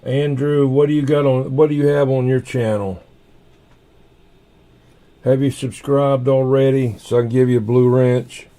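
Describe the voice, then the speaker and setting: A man with a soft, mellow voice chats casually as a livestream host. He rambles along without hurry, often muttering half to himself. His tone is relaxed and dark, at an ordinary male pitch. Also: measured pace, long pauses.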